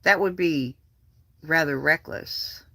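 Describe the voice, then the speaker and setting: Bright, animated livestream host with a dry sound. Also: mid-range voice, slow, dry recording. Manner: animated, profane, repeats phrases. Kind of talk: casual livestream chat, political rambling.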